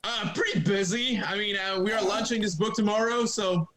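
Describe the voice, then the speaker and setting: A young man from Quebec with a French-Canadian accent, speaking English as a second language, chatting haltingly in a remote podcast interview. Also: high voice, over a noisy crowd. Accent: Québécois French. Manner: halting, many fillers and restarts. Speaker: guest comic writer. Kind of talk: casual interview chat.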